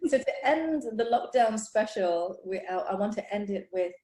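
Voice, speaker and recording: A woman's voice: mid-range voice, expressive, normal speed, warm tone. A woman hosting an interview with her colleague, effusive and upbeat, often padding her lines with fillers. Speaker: clinic owner, interviewer. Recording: echoey room.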